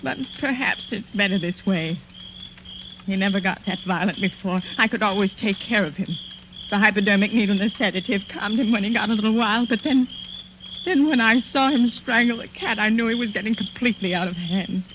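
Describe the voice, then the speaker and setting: A woman plays a troubled older aunt from a vintage radio thriller. She speaks haltingly and tremulously at a middling pitch, with plenty of noise on the old recording. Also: lightly acted. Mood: anguished confession. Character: distraught aging aunt guarding a dark family secret. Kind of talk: 1940s radio suspense acting.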